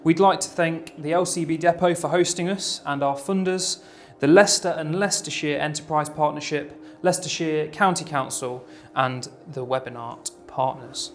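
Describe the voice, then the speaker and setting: Male interviewer host, easygoing questioner, meandering phrasing, slightly high voice. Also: fairly even intonation, moderate pace, few pauses. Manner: friendly, loosely phrased questions with many fillers.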